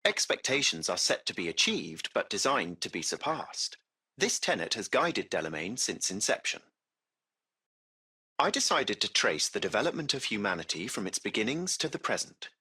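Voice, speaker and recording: A man's voice: fairly low pitch, very animated, moderate pace, pushed and bright, breathy edge, exaggerated voice. A man playing a polite, curious AI taxi driver in a video game, speaking in formal, precise, butler-like phrases. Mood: polite, studious. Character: courteous, curious AI taxi chauffeur, male-voiced machine. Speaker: AI driver of a cab service. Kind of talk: scripted video game character dialogue.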